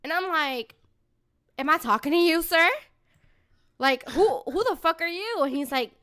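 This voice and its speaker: A woman's voice: very high voice, animated, unhurried, a bit bright. A woman hosting a podcast in casual chat, informal and giggly, swearing freely. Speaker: podcast host.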